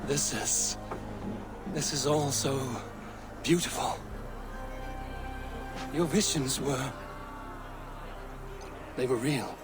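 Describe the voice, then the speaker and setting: Slow, solemn Viking warrior. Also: male voice, medium pitch, over music. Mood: awed. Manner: halting, solemn, wondering. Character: wary, awestruck Viking warrior, steady and questioning. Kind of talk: dramatic video game acting.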